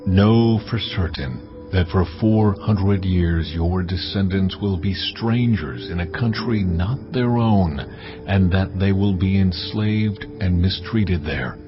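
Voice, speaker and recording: An actor voicing God in a dramatized scripture reading, solemn, deliberate and authoritative. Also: male voice, deep voice. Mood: solemn, commanding. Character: God, a solemn, commanding, majestic male divine voice. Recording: slightly dull audio, music behind. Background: background music.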